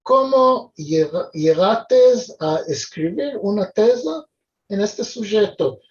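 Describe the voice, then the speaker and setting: Very slow hesitant male host. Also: high-pitched, expressive. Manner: hesitant, many fillers. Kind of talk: hosting an online talk. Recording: distant dull lo-fi audio.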